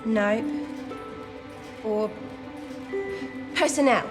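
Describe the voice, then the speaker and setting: An actress playing a young wartime codebreaker who thinks aloud, eager and self-doubting but daring, in an audio drama. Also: female voice, on the high side, extremely slow, music in the background. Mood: wry, deliberating.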